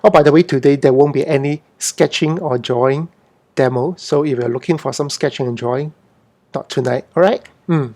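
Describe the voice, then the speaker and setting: A man's voice: mid-range voice, fairly quick, dark tone. A middle-aged man from Hong Kong who later lived in Singapore, talking informally and unscripted as an artist hosting an online Q&A, often repeating and correcting his words. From born in Hong Kong, moved to Singapore as a teen. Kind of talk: informal unscripted livestream talk.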